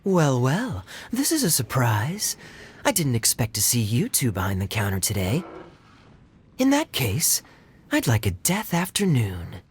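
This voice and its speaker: A man's voice: medium pitch, huge pitch swings, a little slow, full character voice. An actor playing a suave, teasing young man who talks in a sly, playful way in a video game. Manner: sly, playful, smooth-talking.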